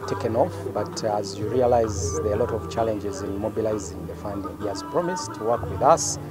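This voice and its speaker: A middle-aged man talks to reporters at close handheld mics, pausing often. Loud music plays underneath his words throughout. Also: lowish voice. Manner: speaks plainly with frequent "uh" pauses. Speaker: club chairman. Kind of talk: press interview. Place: outdoors in front of a sponsor backdrop. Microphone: handheld press mics close up.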